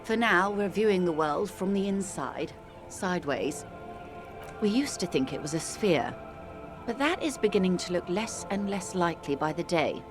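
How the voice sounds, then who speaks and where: A worldly, composed woman of mature years , voiced by an actress, speaks in a lowish register. She is eloquent and measured, with gentle condescension fit for narrative game dialogue. Music plays clearly beneath her. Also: animated, close to an everyday voice. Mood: wry, measured. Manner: measured, eloquent, calls the listener dear and darling. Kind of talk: dramatic video game dialogue. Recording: music playing under the voice.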